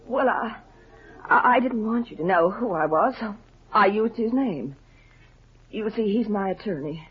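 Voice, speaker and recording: A woman plays a well-mannered, suspicious wife in an old radio melodrama. Her mid-pitched voice is dramatic and anxious, rising and falling widely. The sound is muffled and dated. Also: expressive, measured pace, natural voice. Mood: anxious, pleading. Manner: dramatic, pleading, indignant when crossed. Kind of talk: 1950s radio melodrama acting. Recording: dull, lo-fi vintage 1950s radio recording.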